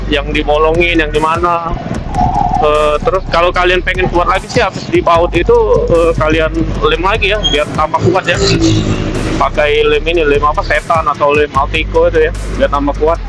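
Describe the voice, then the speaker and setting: A man chatting casually as a motovlogger, halting and repeating himself as he asks himself questions. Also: sits high, rough recording. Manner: halting, repetitive, self-questioning. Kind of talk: casual vlog chat.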